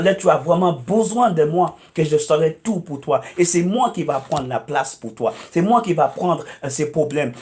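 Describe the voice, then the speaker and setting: Guest preacher, male, native English speaker, high voice, emphatic, repetitive, hesitant fillers. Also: a little mellow. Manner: emphatic, repeats phrases, many hesitant "euh"s. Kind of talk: preaching testimony on a talk show.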